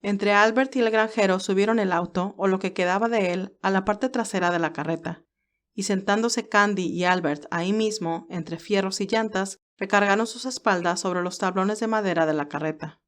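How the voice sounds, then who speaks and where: A woman narrates a story from a script. Her pitch is in the middle range for a female voice. She takes the role of storyteller. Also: moderate pace.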